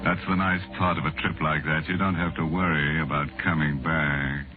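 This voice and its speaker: An actor playing a ghoulish, tongue-in-cheek horror show host, with a wry, macabre drawl in 1940s radio style. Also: male voice, very deep voice, level tone, moderate pace. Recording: tinny 1940s radio recording with music.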